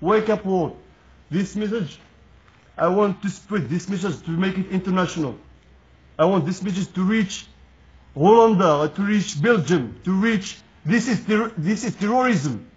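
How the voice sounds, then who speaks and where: A man's voice: high voice, lively intonation, measured pace. Man, Moroccan accent, indignant, lo-fi dull sound. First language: Moroccan Arabic. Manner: angry, indignant, repeats phrases. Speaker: protester speaking to camera. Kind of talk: impassioned street appeal.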